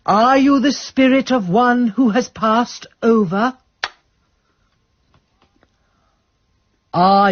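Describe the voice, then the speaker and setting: An actress playing a prim, resourceful English spinster sleuth in a radio drama, speaking in a fussy, genteel and precise manner. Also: female voice, medium pitch, dull audio. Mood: solemn, trance-like. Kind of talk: British radio drama acting.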